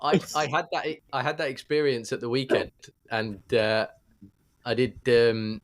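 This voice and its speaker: A man's voice: medium pitch, fairly even intonation, measured pace. A British man chatting casually and telling anecdotes as a podcast host. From United Kingdom.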